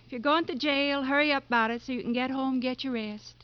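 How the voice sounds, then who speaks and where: An actress playing a nagging but caring older sheriff's wife with folksy speech in a 1950s radio drama. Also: female voice, sits high, fairly even intonation, quick pace, clear, resonant tone, no echo, lightly acted. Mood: wistful. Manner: folksy, fussing. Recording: dull, faintly noisy 1950s radio recording.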